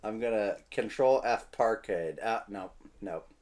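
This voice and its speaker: A man's voice: lowish voice, measured pace, a little mellow. A man with an American accent co-hosting a podcast, chatting casually and jokily about sports in a wry, bantering way. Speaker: podcast co-host. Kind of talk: casual joking sports chat.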